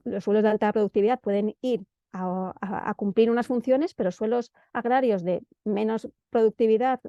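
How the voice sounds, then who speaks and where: A woman's voice: mid-range voice, warm tone, dry recording. A woman with a central Spanish accent giving an informal presentation, often hesitating and repeating words as she searches for the next one. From Madrid, Spain. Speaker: presenter of an urban planning guide. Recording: dull, lo-fi dry audio.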